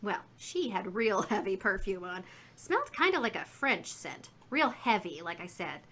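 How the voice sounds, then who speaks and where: A woman's voice: mid-range voice, very animated, normal speed, fairly bright tone. A woman playing a chatty, earnest American witness in 1950s radio drama style, rambling and folksy as she recalls details. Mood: earnest, pondering.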